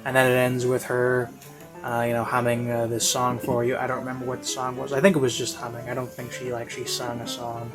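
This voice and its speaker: Male, mid-pitched, off-the-cuff reviewer. Rambling, hesitant delivery with frequent searching pauses, classical music bed behind. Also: completely flat delivery. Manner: hesitant, halting, thinking aloud. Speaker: reviewer of audio series. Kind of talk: casual rambling spoken review.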